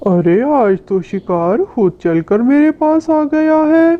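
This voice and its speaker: A woman's voice: on the high side, very animated, slow. A woman voicing a hungry, bullying bird of prey in a children's cartoon, taunting and theatrical, with a muffled narrow sound. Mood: hungry, gloating. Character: hungry bullying predatory kite bird, boastful, gullible. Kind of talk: Hindi children's cartoon villain acting.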